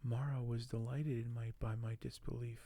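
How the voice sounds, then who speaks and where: A man speaking softly and gently for an ASMR video, sometimes close to a whisper, with a halting delivery as host. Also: medium pitch, flat delivery. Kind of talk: soft-spoken ASMR, partly whispered; reading aloud and commenting on collectibles.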